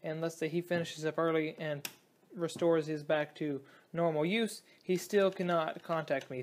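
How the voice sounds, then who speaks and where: Young man, slightly high-pitched, thinking aloud. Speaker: hobbyist presenter. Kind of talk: casual hands-on electronics demonstration. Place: indoors at a tabletop.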